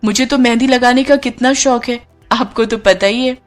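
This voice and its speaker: Woman voicing a sweet, eager, high-pitched young girl. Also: fairly even intonation, normal speed, soft background music, close to an everyday voice. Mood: hesitant, humble. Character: humble, eager young woman, a gifted mehndi artist. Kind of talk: Hindi cartoon story dialogue.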